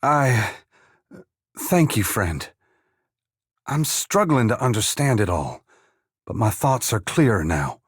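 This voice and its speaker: An actor playing a humble, weary dead farmer-saint in a fantasy video game, plain-spoken yet solemn, with a gravelly, husky voice. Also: male voice, on the low side, sing-song, slow, long pauses, dry recording, clean studio sound, exaggerated voice. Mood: solemn resolve. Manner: plain-spoken rustic phrasing mixed with solemn archaic oratory. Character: humble dead farmer-saint, once god-touched, wry, earnest and weary. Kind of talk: dramatic fantasy game dialogue.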